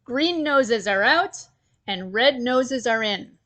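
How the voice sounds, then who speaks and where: A woman's voice: fairly high pitch, animated, takes it slowly, fairly bright tone, pitched above the performer's own voice. A woman voicing a brash male shopkeeper in a children's story, calling out stretched sales patter. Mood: brash, salesy. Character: brash male shopkeeper hawking the latest fad.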